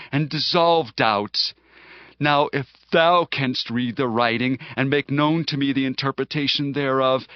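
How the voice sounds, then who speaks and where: A man's voice: mid-range voice, no room sound, natural voice. A man playing an imperious king of Babylon, formal and regal, in a dramatized scripture reading. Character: male king of Babylon, imperious yet needy. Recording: dry, slightly dull audio.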